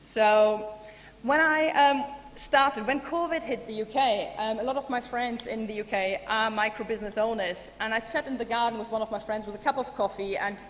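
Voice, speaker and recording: A woman's voice: on the high side, normal speed, a bit bright. A woman from Germany giving a chatty, enthusiastic keynote speech with many ums. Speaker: keynote speaker. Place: large conference hall. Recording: hall reverb, faint room noise.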